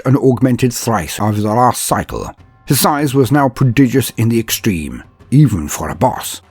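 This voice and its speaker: Male narrator, husky voice, lively mock-heroic delivery, faint music bed. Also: mid-range voice. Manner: mock-epic, grandiose storyteller drama. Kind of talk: comedic storytelling narration.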